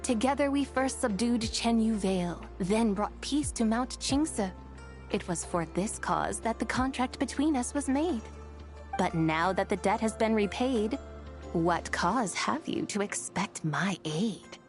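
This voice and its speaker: A woman's voice: medium pitch, animated, a little slow, lightly acted. A woman playing a haughty, sly, ancient female horse spirit in a fantasy game, with theatrical, archaic, teasing formal speech. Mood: probing. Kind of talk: fantasy game cutscene acting. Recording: music behind the voice.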